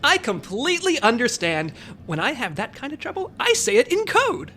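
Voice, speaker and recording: An actress playing an earnest, excitable, by-the-book secret agent in an audio comedy drama. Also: female voice, a little high, moderate pace. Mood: eager, supportive.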